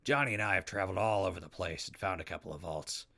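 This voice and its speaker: Man playing a low, gravelly wasteland radio host. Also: quick pace, sharp tone, exaggerated voice. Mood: casual, persuasive. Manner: casual, wry, digressive. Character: male wasteland radio host, chatty, wry and easygoing. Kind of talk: radio drama acting with mock sponsor reads.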